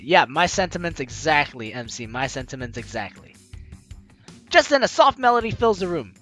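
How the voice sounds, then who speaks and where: A woman's voice: fairly low pitch, fairly bright tone. A woman hosting a gaming video, reading game text aloud with playful, theatrical asides and casual commentary.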